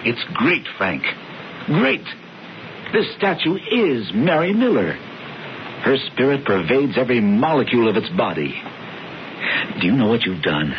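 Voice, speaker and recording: An actor playing a smooth, persuasive older brother in a radio drama, with theatrical, emphatic, deliberate phrasing. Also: male voice, mid-range voice, sing-song. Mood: rapturous. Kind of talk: 1970s radio drama acting. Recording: dull, noisy old radio broadcast.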